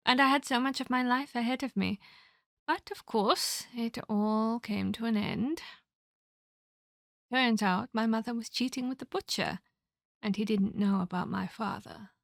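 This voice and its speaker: Lady doing a creepy old ghost girl for horror asmr, really slow and breathy, wistful but menacing, super dry close sound. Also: medium pitch, no echo, natural voice. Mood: wistful, nostalgic. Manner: slow, deliberate, theatrical storytelling. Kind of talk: ASMR horror roleplay monologue.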